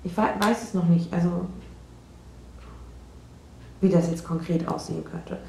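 A woman playing an insecure, defensive out-of-work actress in a naturalistic radio drama, speaking haltingly and trailing off. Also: on the low side, fairly even intonation, gravelly, a little mellow, echoey room, a little hiss or hum, rough recording. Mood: unsure, hopeful.

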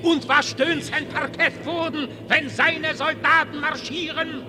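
An actor in a German radio play as a ragged homeless dreamer, mocking, prophetic and pitying, with theatrical, declamatory delivery. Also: male voice, very high voice, fairly even intonation, unhurried, crowd noise. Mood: fervent, summoning. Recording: long reverberant hall.

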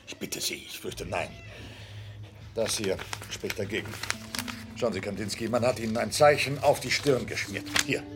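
An actor playing a grave, concerned school head in a radio drama, speaking formally and in measured sentences. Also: male voice, on the low side, animated, background noise. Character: grave male school head reporting street attacks.

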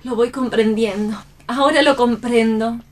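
Actress as a high-voiced, earnest idealistic heroine. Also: female voice, fairly even intonation, measured pace, lightly acted. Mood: tender. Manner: earnest, dramatic. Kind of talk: theatrical radio play reading.

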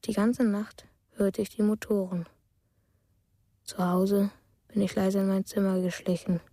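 Slow, breathy, dreamy little boy. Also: mid-range voice, flat delivery, no echo, clean studio sound. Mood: hushed, dreamy. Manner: hushed, simple, dreamy storytelling. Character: dreamy, lonely little boy telling of secret journeys. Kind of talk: German radio drama monologue.

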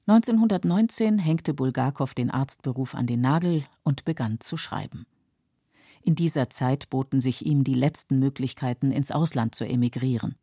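Low, mellow female narrator. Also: lively intonation, moderate pace, no room sound, clean studio sound. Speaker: narrator of a radio literary feature. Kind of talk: scripted documentary narration.

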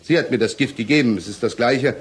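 A distraught husband played by a male actor who stands accused in a radio crime play. His voice is medium in pitch and his speech halting, with bewildered, pleading repetitions in an old-school radio acting style. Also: close to an everyday voice. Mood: anxious, defensive. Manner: halting, pleading, repeats himself. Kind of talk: 1960s German radio drama acting.

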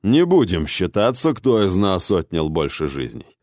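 A man's voice: on the low side, animated, normal speed, a little mellow, dry recording, clean studio sound, natural voice. A man voicing a stern, imperious male ruler in an audiobook, speaking in a cold, unruffled, commanding manner. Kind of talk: audiobook character acting.

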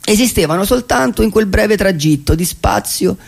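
A man playing a dreamy vagabond poet who calls himself nobody, in a halting, reflective theatrical monologue in speech. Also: sits high, animated, vocal fry, slightly rough, exaggerated voice. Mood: pensive. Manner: halting, repeats words and phrases reflectively.

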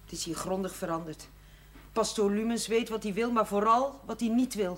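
A woman's voice: medium pitch, normal speed, fairly bright tone, lightly acted. An actress playing a plainspoken, motherly former housekeeper who teases dryly. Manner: dry, teasing, plainspoken. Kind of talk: 1970s TV drama acting.

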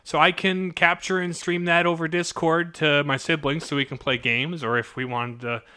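A man in his twenties co-hosting a podcast, chatty, joking and quick. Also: on the high side, fairly even intonation, pushed and bright, smooth. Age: 20s. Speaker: podcast co-host. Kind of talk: casual chat.

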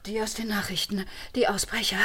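An actress playing a frightened but stubborn hostage in a German radio crime drama, tense and defensive. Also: female voice, mid-range voice, level tone, brisk, a little hiss or hum, lightly acted. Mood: hushed fear. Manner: tense, defensive, often hushed. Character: frightened but stubborn adulteress held by robbers.

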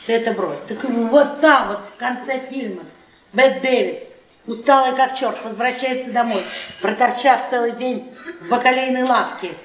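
Echoey, high-voiced, domineering middle-aged wife played by an actress. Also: female voice, moderate pace, recorded from a distance, close to an everyday voice. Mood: insistent, animated. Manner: bossy, needling, drawling emphasis. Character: loud, domineering, mocking 52-year-old wife. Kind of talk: theatrical radio play acting. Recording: roomy, echoey stage, off-mic.

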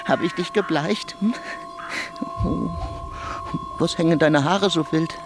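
Haunted, high-strung man on edge. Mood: haunted, guilty. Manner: halting muttering broken by outbursts, repeats words. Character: haunted, frantic murderer, jumpy and defiant. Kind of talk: German radio drama acting. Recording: music behind the voice.

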